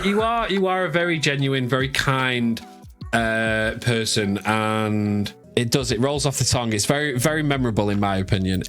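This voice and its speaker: A man's voice: mid-range voice, a bit bright. A man hosting a casual podcast interview, friendly and easygoing. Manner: friendly, easygoing, quick to laugh. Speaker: podcast host, streamer.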